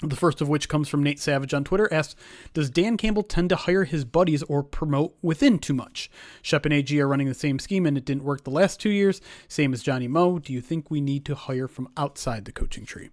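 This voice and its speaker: A man hosting a sports podcast, his voice raspy, chatty and joking at his own expense. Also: fairly high pitch, a little fast, dry recording, studio quality. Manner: chatty, self-mocking, laughs easily. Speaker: podcast host and producer. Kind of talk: casual podcast chat.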